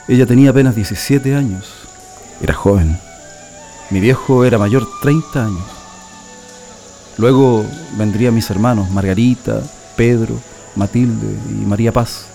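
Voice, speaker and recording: A man's voice: mid-range voice, mellow, natural voice. An actor playing a proud, nostalgic political prisoner in a radio drama, telling his story reflectively with a Chilean accent. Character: male political prisoner, proud nostalgic communist militant, immigrant's son.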